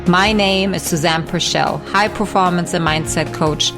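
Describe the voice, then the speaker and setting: A woman, a podcast host and coach, reading a scripted introduction in a smooth, read-out manner. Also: on the low side, measured pace. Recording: voice over music.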